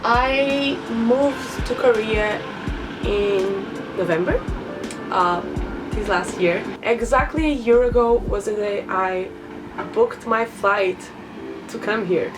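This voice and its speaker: A woman's voice: mid-range voice, slow pace, distant mic, music in the background, low-quality recording. A woman in her twenties from Portugal with a Portuguese accent, introducing herself in a chatty vlog, laughing often between thoughts. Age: 20s. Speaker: vlogger.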